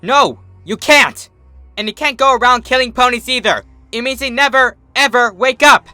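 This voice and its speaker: A man playing an adult female pony princess in a story reading, a strict but motherly mentor with a measured manner. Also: very high voice, bright, forceful tone, no room sound, soft background music, pitched above the performer's own voice, full character voice. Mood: panicked, scolding. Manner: strict, measured, soothing with her student.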